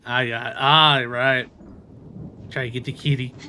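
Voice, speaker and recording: A man doing casual live gameplay commentary in a playful, expressive way. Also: mid-range voice, unhurried, a little hiss or hum. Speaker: game streamer. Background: music.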